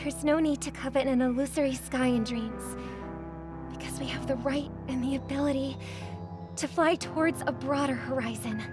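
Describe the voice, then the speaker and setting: An actress voicing a gentle, earnest young woman in game dialogue, polite and composed. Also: sits high, fairly even intonation, moderate pace, natural voice. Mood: earnest, hopeful. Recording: clear music under the voice.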